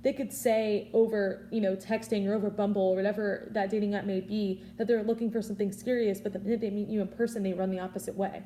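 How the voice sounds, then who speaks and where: A woman's voice: medium pitch, little pitch movement, quick pace, hardly any pauses, warm tone, slight background noise. A woman in her early twenties giving an informal prepared speech, offering earnest advice in a conversational way. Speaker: student public speaker.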